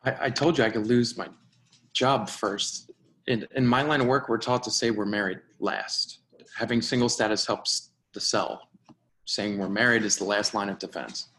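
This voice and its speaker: A man playing an earnest, straitlaced company man in a screenplay read, halting and apologetic. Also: on the low side, a bit bright. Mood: defensive.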